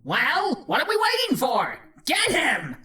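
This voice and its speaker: A woman acts out a bumbling, excitable changeling for an audio comedy. The shouted delivery is forceful, highish and hugely animated, with music behind. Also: slow pace, full character voice. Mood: confused rallying. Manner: shouting, muddled. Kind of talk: audio-drama acting of a comedy story.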